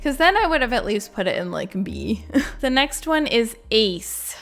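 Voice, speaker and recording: A woman's voice: a little high, big pitch swings, unhurried, vocal fry. A woman giving informal, rambling reaction commentary, sprinkling in "like" as she goes. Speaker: video creator and game lore reviewer.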